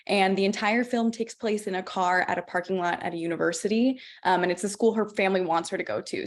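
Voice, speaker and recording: A woman from Los Angeles speaks on a film panel in a mid-range voice. She lays out her story clearly and in order. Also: mid-range voice. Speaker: filmmaker panelist.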